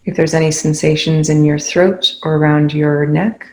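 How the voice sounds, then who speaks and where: A meditation guide speaking calmly and instructively, leaving long pauses between phrases. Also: mid-range voice.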